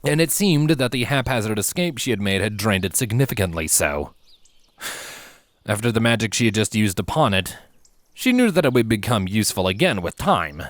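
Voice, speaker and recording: A man narrating a scripted story as a reader of fan fiction, with expressive, theatrical emphasis. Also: lowish voice, no echo.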